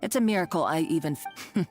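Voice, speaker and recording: A woman's voice: sits low, animated, brisk, darkish tone. A woman voicing a scheming, smug female villain in a video game, with a taunting, theatrical, sneering delivery. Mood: scornful, accusing. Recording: music under the voice.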